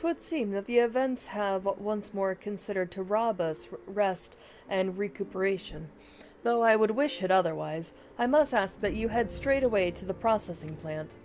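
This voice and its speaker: A woman's voice: mid-range voice, moderate pace, a little mellow. A woman livestreamer reading fantasy game dialogue aloud in a dramatic way, with game music behind her.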